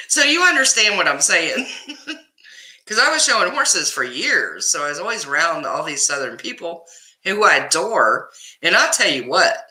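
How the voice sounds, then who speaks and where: A talkative woman with a Kansas accent hosts a live chat, greeting viewers by name and laughing easily. Her voice is very bright and rises and falls a lot. The room around her rings with echo. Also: lowish voice, very animated, unhurried. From Kansas, US Midwest. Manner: chatty, laughs often, answers viewers by name. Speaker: livestream host reading chat.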